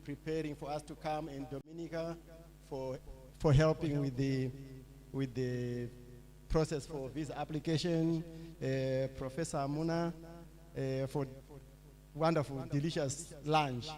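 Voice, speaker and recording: A middle-aged man from Zimbabwe giving a public lecture, talking in a halting way with many fillers and restarts. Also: on the high side, steady tone, a little mellow, slight background noise. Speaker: lecturer, associate professor.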